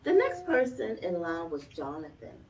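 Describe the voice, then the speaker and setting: A woman reading a children's story aloud in an expressive way, with chatty asides to the children and parents listening. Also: fairly low pitch, moderate pace, crowd noise. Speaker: library storytime reader. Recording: dull, distant, noisy room recording.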